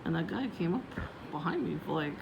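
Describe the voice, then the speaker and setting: A woman vlogging casually about her day in a low voice, meandering with many fillers and restarts. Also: animated, fairly quick, vocal fry, highs cut off. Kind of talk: casual rambling vlog. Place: outdoors, windy. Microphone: phone. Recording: noisy phone recording.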